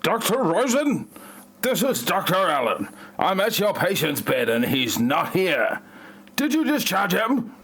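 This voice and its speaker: Man playing a bright, forceful solemn doctor. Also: high-pitched, sing-song, measured pace, slightly rough, exaggerated voice. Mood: concerned. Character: brisk, solemn male doctor, a colleague.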